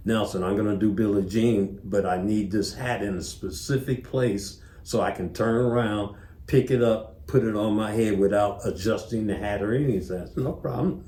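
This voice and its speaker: A man's voice: lowish voice, warm tone. An older man, an interview guest, telling stories casually and acting out remembered dialogue as he goes. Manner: acts out remembered dialogue as he narrates. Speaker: interview guest, former tour manager. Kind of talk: casual anecdotal storytelling. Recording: roomy, echoing interview sound.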